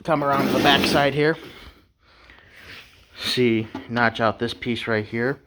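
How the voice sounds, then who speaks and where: A man's voice: mid-range voice, steady tone, takes it slowly, background noise. A man giving a casual, unscripted hands-on DIY tutorial in a laid-back, folksy way. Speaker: DIY how-to presenter.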